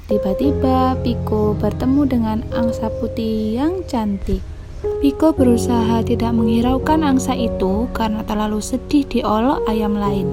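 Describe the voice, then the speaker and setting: Young woman storyteller, slightly high voice. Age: young adult. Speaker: storyteller, university student. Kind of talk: children's story narration.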